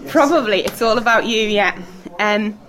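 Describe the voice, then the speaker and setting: A woman's voice: medium pitch, moderate pace, fairly bright tone, poor audio. A woman teaching a church group in a casual, chatty way, laughing often and putting questions to the room over background noise. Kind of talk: talk with group discussion.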